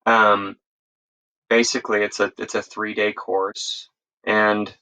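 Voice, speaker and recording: Male, American, lowish but bright voice, informal interview guest who rambles and backtracks mid-sentence. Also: flat delivery, slow, smooth, rough recording. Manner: rambling, self-correcting, informal. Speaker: interview guest, EMS instructor. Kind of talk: casual conversational storytelling.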